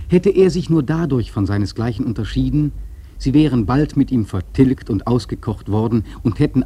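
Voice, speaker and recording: Casting: reflective sea-novel storyteller for radio drama, mellow and husky, earnest, measured rhetoric. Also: male voice, medium pitch. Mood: grave, musing. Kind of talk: literary narration in a radio play.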